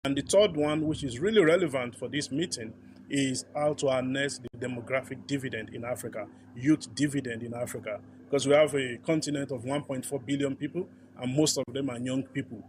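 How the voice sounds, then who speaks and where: A man's voice: mid-range voice, a little fast, darkish tone. A man in an official role, explaining his organisation's aims. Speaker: official speaking about development. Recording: light background ambient noise.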